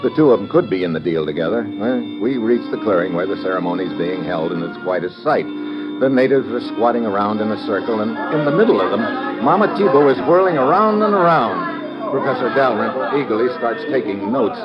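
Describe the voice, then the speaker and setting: A man's voice: lowish voice, expressive, a little fast, close to an everyday voice. A man acting a tough, wry investigator who narrates his adventure dryly in an old-time radio drama. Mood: dry narration. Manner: dry, sardonic. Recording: muffled old radio recording with loud music.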